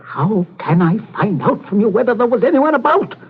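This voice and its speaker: A man's voice: high voice, sing-song, moderate pace, husky, heavily acted. An actor playing a stern, domineering middle-aged father in a radio drama, interrogating in a commanding, clipped way. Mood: grim, probing. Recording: tinny, narrow-band radio drama audio.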